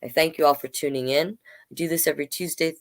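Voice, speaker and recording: A woman hosting a storytime, reading a picture book aloud with chatty, gently encouraging asides to listeners. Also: deep voice, fairly even intonation, quick pace. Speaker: children's storytime reader and host.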